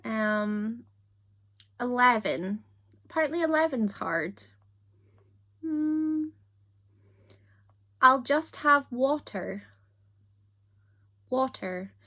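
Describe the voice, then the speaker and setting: A young Scottish woman with a softened accent, chatting casually to camera in a self-deprecating, giggly way. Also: on the high side, expressive, very slow, pauses often. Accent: Scottish, softened toward standard British. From Scotland. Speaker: YouTuber.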